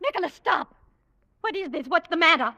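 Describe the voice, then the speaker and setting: A woman's voice: extremely high pitch, lively intonation, a little fast, pushed and bright, dry recording, dull audio, full character voice. An actress playing a lonely, timid young woman haunted by fear in an old-time radio drama, speaking in a hesitant, wistful way. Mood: alarmed.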